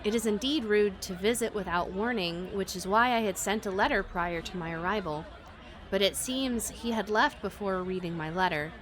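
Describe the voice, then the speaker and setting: A woman livestreaming a visual novel, reading the text aloud and reacting to it in an expressive, giggly way. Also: medium pitch, moderate pace, a faint crowd. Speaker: streamer playing a visual novel. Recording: music behind the voice. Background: game music.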